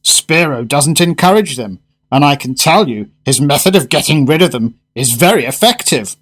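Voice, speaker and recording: A man's voice: fairly high pitch, animated, moderate pace, fairly bright tone, slightly rough. An actor playing a fussy, fervent advertising man and devoted gourmet in a radio drama, speaking in a florid, emphatic style. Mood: eager, confiding.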